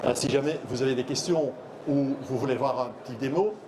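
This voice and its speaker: A middle-aged man from Belgium, not a native French speaker, giving a halting trade show talk full of fillers and restarts. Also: medium pitch. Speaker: conference speaker. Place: trade fair hall. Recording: noisy trade fair hall.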